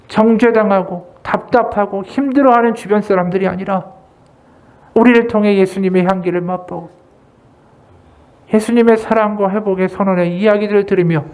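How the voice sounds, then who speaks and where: High-voiced man preaching earnestly. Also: a little mellow. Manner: earnest, exhorting, measured phrasing in short pieces. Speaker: associate pastor preaching. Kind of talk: Sunday sermon with read-aloud testimony and prayer.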